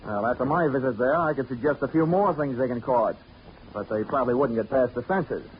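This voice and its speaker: A man's voice: mid-range voice, quick pace, slight background noise, lightly acted. An actor playing a sardonic male insurance investigator, narrating dryly in a 1940s radio drama. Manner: dry, sarcastic narration. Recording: muffled 1940s radio with music.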